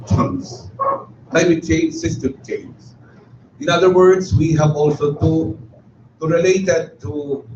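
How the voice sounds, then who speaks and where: A man speaks slowly from the audience at a forum, stopping and restarting as he searches for words. The recording is roomy and a little muffled, with a faint hiss underneath. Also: fairly high pitch, darkish tone. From Philippines. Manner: hesitant, many restarts and fillers. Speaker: forum audience member asking a question. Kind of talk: halting spoken question at a public forum. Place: meeting hall. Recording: roomy, dull hall recording with faint noise.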